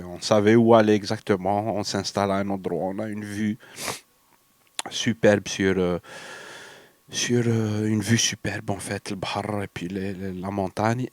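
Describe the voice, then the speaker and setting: A man's voice: fairly low pitch, dry recording. A man from Algeria with an Algerian French accent, sick with a cold and fever, talking in a rambling, hesitant way and slipping between French and Arabic in a personal monologue. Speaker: vlogger.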